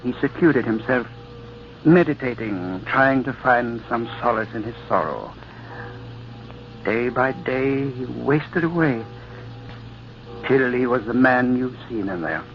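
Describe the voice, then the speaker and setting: A man playing a grave, compassionate monastery father, gentle and solemn, in an old-time radio drama. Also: medium pitch, animated, slow pace, long pauses, slight background noise. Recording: muffled old radio recording.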